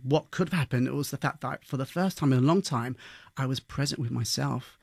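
Male interview guest, British, medium pitch, conversational storyteller, dry studio sound. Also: fairly quick, vocal fry, breathy edge, dry recording. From London, England.

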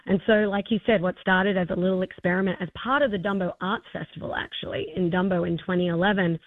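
A hoarse woman in her forties with an Australian accent, a festival co-founder answering interview questions enthusiastically, with many fillers and restarts. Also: on the low side, fairly even intonation, quick pace, no room sound. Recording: tinny phone line, dry.

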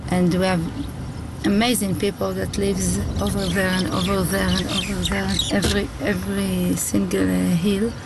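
A woman in her thirties with an Israeli accent, a native Hebrew speaker, answering an interview in halting English as she searches for words. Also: medium pitch, little pitch movement. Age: 30s. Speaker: interviewee, local settler. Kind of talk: impromptu interview. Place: outdoors near a spring. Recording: outdoor interview with clear vehicle noise.

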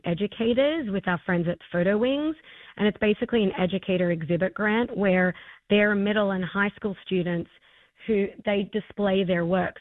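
Aussie woman being interviewed, enthusiastic and chatty, thin narrowband audio, no room echo. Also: medium pitch. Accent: Australian. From Australia. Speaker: interview guest, arts festival co-founder. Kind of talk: conversational interview.

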